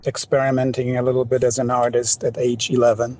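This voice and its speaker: A man's voice: mid-range voice, completely flat delivery, fast, a little mellow, slight background noise. A man in his sixties from Poland, speaking English with a Polish accent, answering interview questions modestly, with small hesitations. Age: 60s. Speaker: textile artist guest. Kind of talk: conversational interview.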